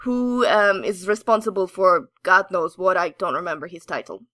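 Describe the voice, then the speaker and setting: Animated, excitable woman chatting geekily, close and dry. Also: lowish voice, normal speed, no echo. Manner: excitable, giggly, gushing. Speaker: podcast co-host. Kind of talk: casual geeky chat, animated storytelling.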